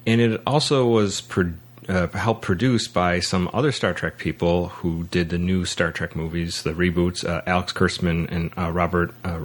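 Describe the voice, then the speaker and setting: Very low-voiced man, halting podcast talk. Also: fairly even intonation. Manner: halting, hesitant phrasing with repeated word starts. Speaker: podcast host. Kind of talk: casual movie discussion.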